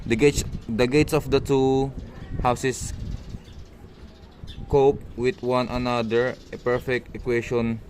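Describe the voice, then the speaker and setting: A man playing a boastful, fawning village matchmaker in an amateur radio drama, reading haltingly and stumbling over words. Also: mid-range voice, flat delivery, a little mellow, slight background noise, close to an everyday voice.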